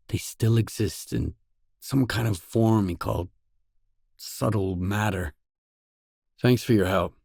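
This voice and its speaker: A man's voice: sits low, a little mellow, slightly rough, dry recording, clean studio sound, exaggerated voice. A man playing a guarded, determined post-apocalyptic stalker in a video game, speaking in a terse, probing, matter-of-fact way. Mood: serious, intent. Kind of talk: isolated video game dialogue lines.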